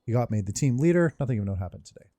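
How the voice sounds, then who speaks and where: A man's voice: medium pitch, lively intonation, very fast, mellow, no echo. A man hosting a game playthrough, commenting casually and reading game text aloud. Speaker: gaming video host. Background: faint game music.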